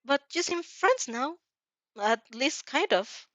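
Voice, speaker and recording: Woman voicing a high, curious, tentative schoolgirl. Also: lively intonation, slow, fairly bright tone, no room sound, exaggerated voice. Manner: questioning, tentative.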